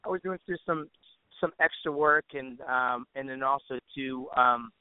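A man with a mid-pitched voice gives a casual interview as a music artist. He talks in a loose, slangy, rambling way. The recording is dry and narrow, like a telephone call. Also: normal speed, clear, resonant tone, no room sound. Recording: narrow tinny phone-line sound.

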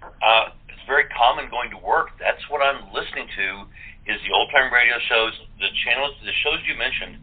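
A man's voice: medium pitch, moderate pace, husky. A man in casual conversation on a thin, phone-like line. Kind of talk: casual chat.

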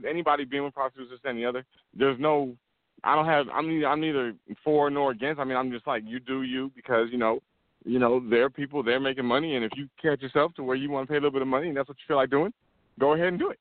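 A man's voice: medium pitch, no echo. A man co-hosting a casual talk show, telling a rambling story with many restarts while he walks. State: walking while talking. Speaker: podcast co-host. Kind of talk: casual chat. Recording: tinny phone-like line.

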